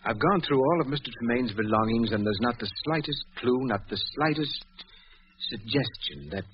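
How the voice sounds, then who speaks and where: An actor playing a formally polite manservant who is secretly a cold-blooded killer, in controlled 1940s radio style. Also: male voice, mid-range voice, moderate pace, close to an everyday voice. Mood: deferential. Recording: muffled, noisy 1940s radio recording.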